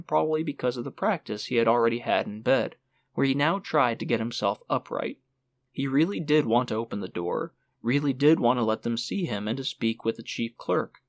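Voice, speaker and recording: A man narrating a literary audiobook in a measured storytelling manner. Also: medium pitch.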